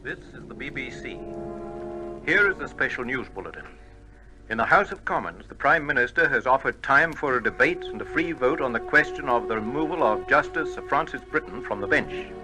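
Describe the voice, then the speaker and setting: An actor playing a formal news announcer reading a bulletin in an old radio drama. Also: male voice, medium pitch, expressive, brisk, music in the background, lightly acted, rough recording.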